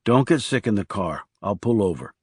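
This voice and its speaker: A man's voice: on the low side, big pitch swings, normal speed, breathy edge, dry recording, clean studio sound. A man voicing a guarded, blunt male assassin in terse audiobook dialogue. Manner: terse, questioning.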